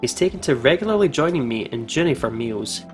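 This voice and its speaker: A man's voice: medium pitch, sing-song, brisk. A man in a casual let's-play, chatting and reading aloud with informal banter and exclamations. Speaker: gaming channel co-host. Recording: music playing behind the voice. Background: game music.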